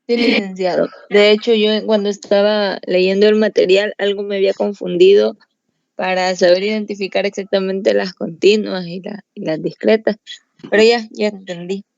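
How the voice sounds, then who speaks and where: A woman's voice: mid-range voice, flat delivery, moderate pace, creaky, darkish tone, dry recording, lo-fi sound. A woman student answering her tutor politely in an online class. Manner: polite, addresses tutor formally. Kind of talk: class discussion.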